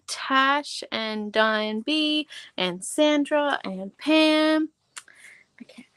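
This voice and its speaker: A woman's voice: medium pitch, fairly bright tone, clear, resonant tone, dry recording. Chatty young woman teaching a craft class. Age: 20s. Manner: chatty and rambling, lots of "like" and asides. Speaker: host of a live art class. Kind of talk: casual livestream craft tutorial. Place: at home, in a warm room.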